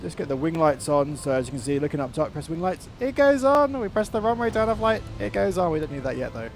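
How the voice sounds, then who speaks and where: A man's voice: on the high side, big pitch swings, fast talker, a little hiss or hum. A man giving casual, enthusiastic commentary while playing a flight simulator. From outside the United States. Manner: chatty, enthusiastic. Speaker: flight sim content creator.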